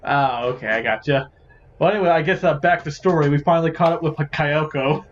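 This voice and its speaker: A man's voice: a little high, fairly quick, a little hiss or hum. A man giving casual, rambling gameplay commentary as host of a gaming series. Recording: dull lo-fi mic, faint music behind.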